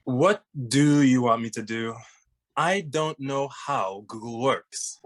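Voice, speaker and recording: A man's voice: mid-range voice, slow, lightly acted. Man playing a pompous, intellectual young detective. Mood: exasperated. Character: intellectual, haughty thirty-year-old detective twin brother. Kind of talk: animated comedy script table read.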